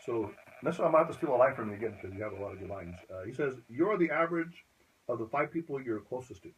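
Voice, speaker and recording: A man co-hosting a podcast who asks questions in a lively, prompting way. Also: medium pitch, husky, low-quality recording. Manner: lively and prompting, with quick laughs. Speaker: podcast co-host. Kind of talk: interview questions.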